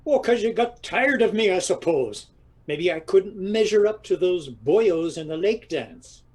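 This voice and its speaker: An actor playing a grumbling, teasing older Irish husband in an audio comedy drama, with an Irish accent and a dry manner. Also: male voice, sits high, animated, unhurried, a little mellow, breathy edge, heavily acted.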